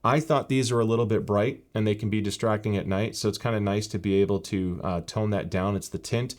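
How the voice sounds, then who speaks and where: A man giving a casual, explanatory walkthrough of a headset's software, with frequent fillers. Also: on the low side, fairly even intonation, brisk. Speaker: tech reviewer. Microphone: gaming headset mic in later part.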